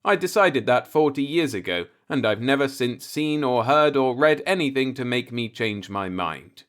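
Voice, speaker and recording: A man's voice: medium pitch, expressive, normal speed, a bit bright, natural voice. A man voicing a cynical, musing older working-class man, a self-taught professor, in a folksy, rhetorical audiobook monologue.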